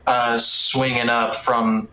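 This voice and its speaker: A man's voice: medium pitch, little pitch movement, slow pace, sharp tone, echoey room, poor audio. A man running a tabletop game as game master, improvising narration in a conversational, searching way.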